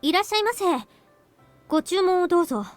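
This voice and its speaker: A girlish young waitress from a visual novel, extremely high and very quick. She is polite and formal, with game music behind her. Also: expressive. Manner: polite service speech. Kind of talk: Japanese visual novel voice acting.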